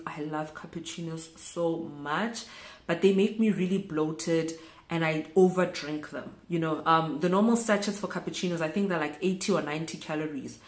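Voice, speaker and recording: A woman from South Africa talks straight to a close camera in a small shelved room. Her voice sits on the low side, and the room adds a noticeable echo. She chats casually and leans on repeated words for emphasis. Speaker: vlogger. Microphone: camera close in front. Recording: echoey room.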